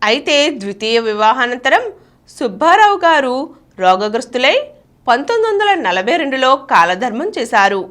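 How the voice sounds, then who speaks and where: Woman, scripted storytelling narration, raised pitch, animated melody. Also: moderate pace. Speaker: narrator reading a biography.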